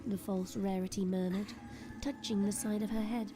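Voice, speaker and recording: A woman's voice: mid-range voice, steady tone, normal speed. A woman giving dramatic scripted narration as the narrator of an audio drama. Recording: music behind the voice. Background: clear background music.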